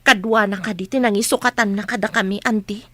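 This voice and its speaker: A woman's voice: medium pitch, fairly even intonation, fast, lightly acted. A woman playing a protective, burdened eldest sister in a radio drama, speaking in a guarded, serious way. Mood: troubled.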